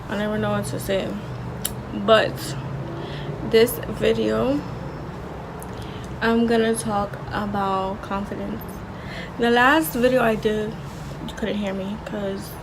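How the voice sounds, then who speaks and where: A young woman in her twenties talking casually in a vlog, with a soft, low voice and a slangy, rambling way of talking full of restarts. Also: fairly even intonation, takes it slowly, long pauses, background noise. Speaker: vlogger.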